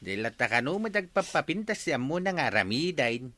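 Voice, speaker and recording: A man's voice: mid-range voice, big pitch swings, a little fast, sharp tone, dry recording. A man playing an older father in a radio comedy drama, grumbling and scolding with disapproval. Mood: disapproving. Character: disapproving father, grumbling over his lazy daughter-in-law.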